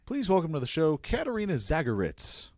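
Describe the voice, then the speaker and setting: A young man hosting a college sports show, giving an upbeat, brisk recap of games and interviewing a guest. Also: fairly high pitch, wildly swooping pitch, darkish tone, dry recording, clean studio sound. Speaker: podcast host.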